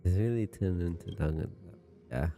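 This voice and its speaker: A woman's voice: rumbling low, normal speed, music in the background. A woman streaming a game with casual commentary, laid-back, terse and hesitant.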